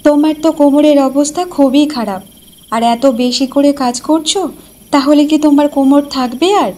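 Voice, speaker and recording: Woman acting a high-voiced fretful village wife. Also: steady tone, fairly quick, dark tone, lightly acted. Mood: questioning, worried. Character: concerned, chiding village wife.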